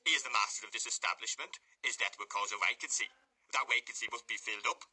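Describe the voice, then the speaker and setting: A man's voice: fairly low pitch, fast, pushed and bright, husky, full character voice. A man voicing a pompous, self-important middle-aged parish beadle, grand and unctuous, reading a storybook. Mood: wooing.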